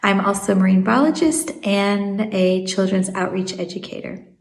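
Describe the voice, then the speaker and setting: A woman in her thirties with an American accent, a marine biologist explaining things in an interview, measured and full of "um" fillers. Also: mid-range voice, vocal fry, reverberant. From Swiss South African.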